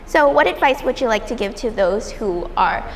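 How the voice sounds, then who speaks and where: A woman's voice: on the high side, animated, normal speed, a little hiss or hum. A woman interviewing a guest, asking thoughtful questions with lots of "like" fillers. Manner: thoughtful, filler-heavy phrasing. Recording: echoey room with long reverb.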